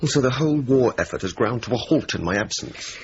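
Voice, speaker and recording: An actor playing a wry young RAF night-fighter officer with dry, sardonic banter, in naturalistic radio drama style. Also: male voice, medium pitch, animated, fast, darkish tone, breathy edge. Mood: teasing, mock-pompous. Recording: muffled, noisy old radio broadcast.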